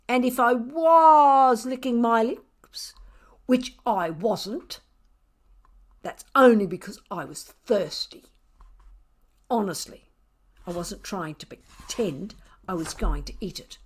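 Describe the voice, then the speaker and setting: A woman voicing a grumpy, sardonic pet cat in an indignant, self-justifying storybook narration with drawn-out emphasis. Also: fairly high pitch, bright, forceful tone, heavily acted. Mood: feigned innocence. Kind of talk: expressive children's storybook reading.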